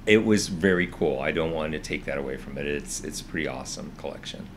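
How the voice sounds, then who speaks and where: A man's voice: a bass voice, fast, fairly bright tone, slight background noise. A man in his fifties co-hosting a casual vlog, earnest and hesitant, often restarting his sentences, seated on a sofa indoors. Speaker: vlog co-host. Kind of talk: casual chat. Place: indoors, living room with orange sofa.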